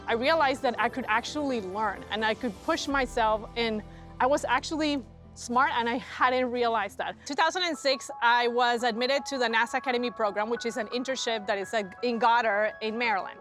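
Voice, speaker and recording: A woman, in Spanish, tells personal stories in an interview, voicing remembered exchanges. Her voice is fairly high. Soft music sits behind it. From Colombia. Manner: acts out remembered dialogue. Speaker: NASA mission manager.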